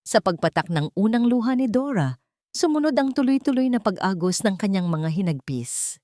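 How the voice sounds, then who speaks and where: A woman's voice: medium pitch, very animated, moderate pace, dark tone, dry recording, studio quality. A woman storyteller reading a scripted romantic drama in a solemn, sentimental manner. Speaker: storyteller, narrator.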